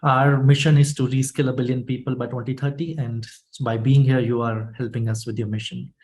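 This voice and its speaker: A man moderating an online workshop, welcoming attendees and walking through the session in an informal, halting way. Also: medium pitch, darkish tone. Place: online video meeting.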